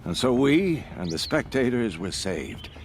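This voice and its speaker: An actor playing a grave, courteous keeper of a hunters' lodge in a video game, speaking formally like a solemn storyteller. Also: male voice, mid-range voice, very animated, measured pace, noisy background. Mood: solemn recounting. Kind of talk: dramatic video game dialogue. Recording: music behind the voice.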